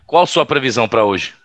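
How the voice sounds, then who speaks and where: Casting: actor as ironic, easygoing male colleague for audio drama, medium pitch. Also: fairly bright tone. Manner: casual, chuckling, ironic. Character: amused, wry male campaign colleague.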